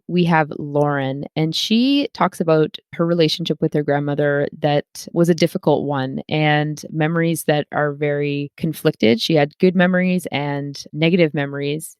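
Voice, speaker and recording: A woman's voice: deep voice, clean tone, dry recording, studio quality. A woman podcast host introducing and interviewing in a conversational style.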